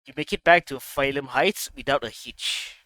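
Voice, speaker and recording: A man's voice: medium pitch, moderate pace, pushed and bright, dry recording. A man streaming a game playthrough, casually commenting and reading on-screen text aloud. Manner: casual, reads dialogue and narration aloud. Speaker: gaming streamer.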